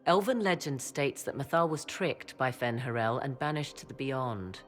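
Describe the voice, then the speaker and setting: An actress playing a proud human sorceress in a fantasy game, with haughty, formal, measured diction. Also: female voice, rumbling low, expressive, soft background music. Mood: measured, superior. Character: proud, hungry-for-knowledge human sorceress advising a commander. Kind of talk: dramatic fantasy game acting.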